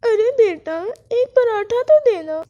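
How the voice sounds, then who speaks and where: Old mother crow for a cartoon, done by a narrator: female, motherly, soft-toned, high, mildly scolding. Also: lively intonation, slow, dull audio, heavily acted. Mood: friendly. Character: kindly, reproving elderly mother crow. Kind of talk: children's cartoon story dialogue.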